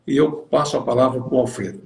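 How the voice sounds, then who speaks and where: A man with a gravelly voice introduces a live stream and quickly hands over to his guest. The sound is roomy, with a clear echo around him. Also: mid-range voice, fairly even intonation, darkish tone. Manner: brief, hands the floor over. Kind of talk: host introduction.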